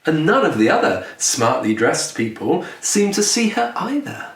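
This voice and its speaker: A man who is a children's author reading his picture book aloud in a gentle storytelling manner with deliberate dramatic pauses. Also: on the high side, big pitch swings. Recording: roomy echo, distant mic, faint noise.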